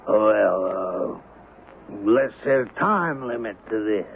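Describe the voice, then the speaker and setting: A low, gravelly voice drawls slowly out of a thin vintage radio recording. It is a crooked western gambler, brash and scornful, full of mocking bluster. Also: male voice, lively intonation. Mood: flustered, angry. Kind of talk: 1970s radio drama acting. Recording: narrow-band vintage radio recording with faint hiss.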